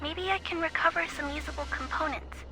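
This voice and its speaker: High, earnest little girl, played by a woman. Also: steady tone, a little fast, natural voice. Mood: worried, resolute. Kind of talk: anime-style game voice acting. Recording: recorded message with music behind.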